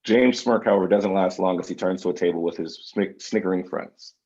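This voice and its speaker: Male stage-direction narrator, low-pitched voice, plain screenplay reading, slightly dull lo-fi recording. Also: brisk, a little mellow. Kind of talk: scripted screenplay narration at table read.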